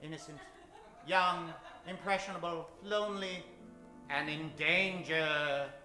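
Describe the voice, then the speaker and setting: A man hosting a live old-time radio adventure show, announcing in a grandiose, hammy, tongue-in-cheek style with dramatic pauses. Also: on the high side, steady tone, bright, forceful tone. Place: live theater stage, echoing room. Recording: very echoey theater, distant, music behind.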